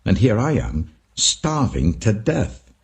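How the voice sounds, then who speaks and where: A man playing a penitent prodigal son, British, low gravelly voice, humble pleading, scripture storytelling. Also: big pitch swings, slow pace, exaggerated voice. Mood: desperate, remorseful.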